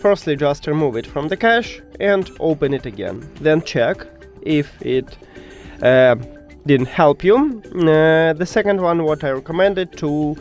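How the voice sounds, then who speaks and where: Higher-voiced man, non-native English, tutorial. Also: expressive, unhurried, soft background music. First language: not English. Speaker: tech tutorial presenter. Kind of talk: step-by-step spoken tutorial.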